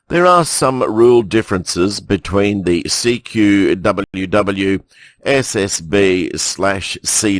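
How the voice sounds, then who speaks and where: A man reading a news bulletin in a measured way, with brief comments of his own. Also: on the low side. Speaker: news presenter.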